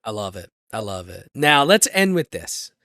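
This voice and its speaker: American guy hosting a podcast, lowish voice, very dry sound, just casually chatting. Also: big pitch swings, moderate pace, sharp tone, no room sound. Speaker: podcast host. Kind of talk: casual chat.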